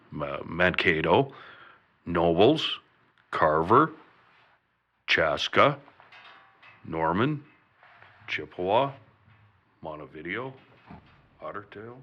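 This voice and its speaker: A man's voice: sits low, fairly bright tone, heavily acted. A man playing a bumbling, hesitant governor who plods through official statements in a comedic old-time radio drama. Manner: halting, plodding, official. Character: bumbling, hesitant governor making an emergency announcement.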